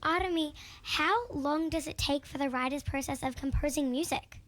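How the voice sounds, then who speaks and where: A woman's voice: high-pitched, normal speed, fairly bright tone. A woman co-hosting a radio interview, plainly reading out questions.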